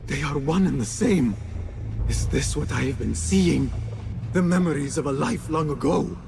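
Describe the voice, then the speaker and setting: An actor playing a troubled assassin haunted by visions in a video game, speaking gravely and deliberately as he searches for answers. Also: fairly high pitch, over music. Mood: anguished, brooding. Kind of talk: dramatic video game acting, brooding monologue.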